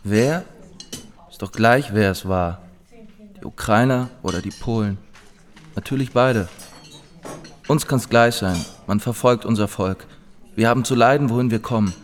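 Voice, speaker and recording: A man's voice: medium pitch, unhurried, pauses often, slight background noise, lightly acted. An actor as a terse, stoical male refugee. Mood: resigned, bitter. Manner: matter-of-fact, resigned, terse. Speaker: actor in a radio play. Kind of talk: German radio drama dialogue.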